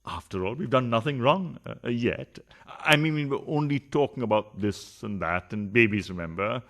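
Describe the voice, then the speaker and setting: A man's voice: medium pitch, lively intonation, moderate pace, a bit bright. A man acting a pompous, scheming adulterous politician husband in a farcical radio play, with a sarcastic, theatrical delivery. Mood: scheming, smooth.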